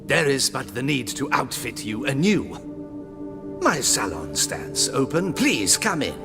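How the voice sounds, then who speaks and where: Flamboyant tailor, pompous, very animated pitch, slow drawn-out phrasing, video game, music. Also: male voice, gravelly. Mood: haughty. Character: flamboyant, snooty, theatrical southern tailor with a salon. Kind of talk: scripted video game dialogue.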